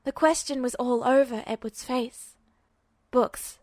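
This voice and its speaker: A woman narrates fiction from a script in the manner of a home-made audiobook. Her voice is high. The recording is dry, with hardly any room sound. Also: a little slow, no echo.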